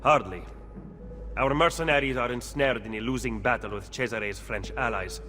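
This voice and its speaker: An actor playing a shrewd, skeptical Renaissance conspirator with an Italian accent in a video game cutscene. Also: male voice, medium pitch, moderate pace, sharp tone, lightly acted. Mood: dissatisfied.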